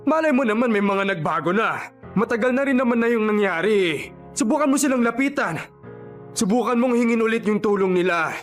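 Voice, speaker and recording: An actor playing a frank, concerned vendor friend in Filipino radio drama style, blunt, earnest and admonishing. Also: male voice, high voice, expressive, a little fast, soft background music. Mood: urgent, persuading.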